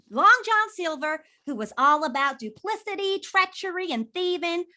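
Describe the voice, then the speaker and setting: A woman's voice: high-pitched, bright, forceful tone, heavily acted. A woman voicing a plucky, theatrical firefly pirate leader in an animation table read, grand and eager.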